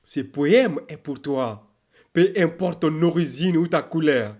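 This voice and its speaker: A man reciting a motivational poem in a declamatory, exhortative way, in short measured phrases with deliberate pauses. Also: on the high side, a little mellow.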